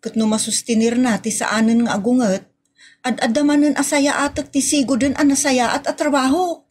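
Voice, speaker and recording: Husky, higher-pitched Ilocano office worker played by a woman. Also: normal speed, a little mellow. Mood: pleading, complaining. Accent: Ilocano Filipino. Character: earnest female employee pleading with strict boss. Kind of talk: Ilocano comedy audio drama acting.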